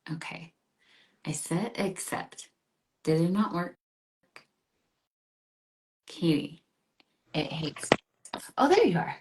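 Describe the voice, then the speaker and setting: A woman's voice: fairly low pitch, very animated, slow pace, long pauses, a little mellow. A woman hosting a casual livestream on a video call, bubbly and chatty and laughing often. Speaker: livestream host. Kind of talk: casual chat.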